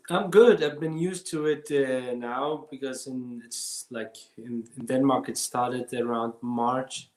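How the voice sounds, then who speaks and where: A man in his thirties from Iraq, speaking in a halting way with many restarts as a guest filmmaker on a video call. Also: medium pitch, reverberant. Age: 30s. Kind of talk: casual interview over video call. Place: home during lockdown.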